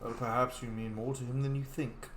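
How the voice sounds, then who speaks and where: A man's voice: medium pitch, flat delivery, a little fast, reverberant, slight background noise. A man hosting a roleplay let's play, chatting casually and playfully voicing his character's replies. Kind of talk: casual gameplay commentary with voiced replies.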